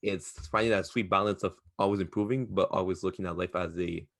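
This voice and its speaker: Casting: male podcast co-host in his twenties, deep voice, casual and opinionated, reflective advice-giver. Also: steady tone, brisk, creaky, fairly bright tone. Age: 20s. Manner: reflective advice-giving, slangy with some swearing.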